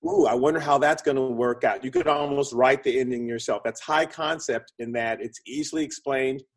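Husky-voiced man explaining things in an interview. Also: medium pitch, brisk. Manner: animated, acts out examples in short punchy phrases. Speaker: interview guest, screenwriting consultant and producer.

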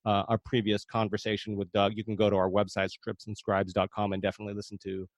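A man's voice: on the low side, completely flat delivery, fast talker, dry recording. Man hosting a podcast, dry close sound. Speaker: podcast host interviewer. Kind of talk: casual remote interview.

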